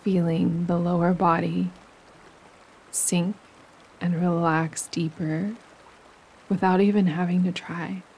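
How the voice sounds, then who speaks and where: A woman leading a guided relaxation meditation as a yoga nidra teacher, speaking gently and soothingly, with pauses between phrases. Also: lowish voice, a little hiss or hum, rough recording. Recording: steady running stream water behind voice. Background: gentle running stream sounds.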